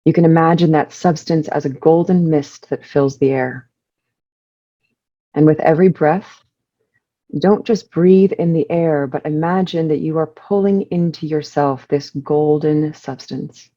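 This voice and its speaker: A woman leading a guided meditation, giving soothing instructions in measured short phrases with pauses between them. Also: low-pitched, steady tone, dark tone, dull audio.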